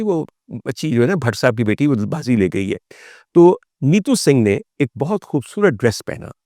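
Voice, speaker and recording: A man's voice: mid-range voice, darkish tone, no room sound, clean studio sound. A man with a Pakistani accent hosting a vlog as a storytelling monologue, with theatrical, formal delivery and English phrases mixed in. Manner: theatrical, rhetorical questions, formal addresses to the audience; mixes English phrases into speech. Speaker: vlog host. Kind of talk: storytelling monologue on film history.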